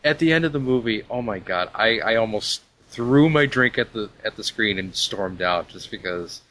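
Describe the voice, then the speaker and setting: A man co-hosting a casual podcast, pressing his opinions with strong emphasis. His voice is on the low side yet sharp and bright in tone. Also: lively intonation, moderate pace, rough recording. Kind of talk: casual chat about animated films.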